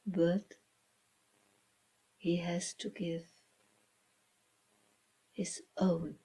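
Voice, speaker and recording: A woman reading a children's story as its author, in a dramatic storybook style with hushed, breathy phrases and deliberate pauses. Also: fairly low pitch, flat delivery. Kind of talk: slow dramatic storybook narration.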